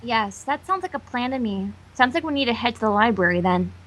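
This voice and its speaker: A woman's voice: fairly high pitch, lively intonation, brisk, a bit bright, poor audio. A woman voicing a bookish, earnest young female cartoon pony in a prim manner. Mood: cheerful, brisk. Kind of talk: fan fiction character reading.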